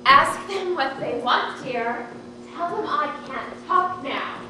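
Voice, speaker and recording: An actress playing a bossy, chatty middle-aged aunt in a live stage comedy, gushing and coaxing theatrically. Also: female voice, sits high, expressive, slow pace. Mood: brisk. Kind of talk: live one-act stage comedy acting. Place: indoor stage, recorded from afar. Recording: echoey noisy hall, distant mic.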